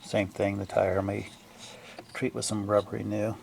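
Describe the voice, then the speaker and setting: A man giving casual, unscripted repair commentary in a gravelly voice, thinking aloud haltingly in trailing fragments. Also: lowish voice, completely flat delivery, noisy background. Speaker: hobbyist restorer narrating a repair video.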